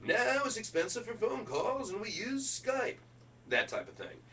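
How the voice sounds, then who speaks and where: A man's voice: high-pitched, very animated, measured pace, fairly bright tone, distant mic, a little hiss or hum. A middle-aged man running through a keynote speech draft, switching between presenter delivery and offhand asides. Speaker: keynote speaker rehearsing a speech.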